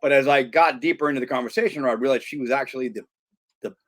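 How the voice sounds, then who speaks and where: A man's voice: medium pitch, quick pace, a bit bright. A man speaking as a guest in a casual interview, reflective and anecdotal, often restarting his sentences. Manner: reflective, storytelling, frequent restarts. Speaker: author and youth sports guest.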